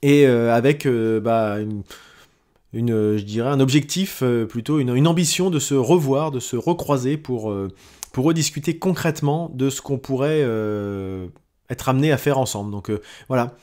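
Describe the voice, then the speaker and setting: A man's voice: medium pitch, dark tone. A man, talking through his weekly audio diary with many hesitations and restarts. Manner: halting, many fillers and restarts. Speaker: podcast host.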